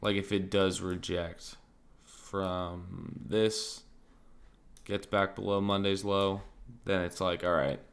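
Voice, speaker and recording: Slow, low-voiced man, a bit raspy. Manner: relaxed, thinking aloud, many fillers like "like" and "um". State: slightly raspy, clearing his throat. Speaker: crypto trading streamer. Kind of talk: casual live-stream market commentary.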